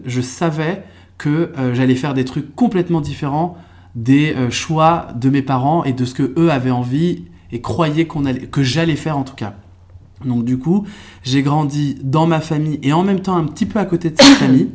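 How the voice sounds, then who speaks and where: Young French man, reflective podcast guest. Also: mid-range voice. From France. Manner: relaxed and reflective, many fillers and restarts. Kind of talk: casual conversational storytelling.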